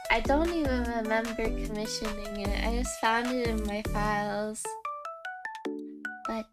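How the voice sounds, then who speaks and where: A woman's voice: a little high, measured pace. A woman hosting a livestream, chatting casually in a cutesy manner. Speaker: livestream host, game streamer. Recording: background music under voice. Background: music.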